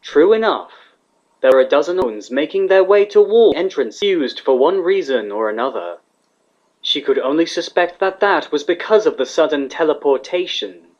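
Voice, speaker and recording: A man's voice: on the high side, expressive, moderate pace, darkish tone. A man reading scripted fiction as the narrator of a dramatic story. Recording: slightly dull, lo-fi recording.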